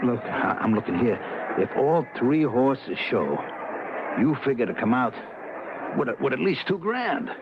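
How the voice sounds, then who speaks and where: An actor playing an eager, blunt racetrack buddy in an old-time radio comedy drama, casual and prodding. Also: male voice, medium pitch, very animated, measured pace. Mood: urging. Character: eager, blunt male pal of a racetrack gambler. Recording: very noisy, dull old radio recording.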